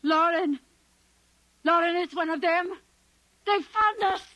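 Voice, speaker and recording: An alien mother and accomplice from old radio. The voice is very high, piercingly bright and slow, suspicious and excitable in short, shrill remarks. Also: no echo, exaggerated voice. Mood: terrified. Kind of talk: 1950s radio drama acting. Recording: vintage 1950s radio recording.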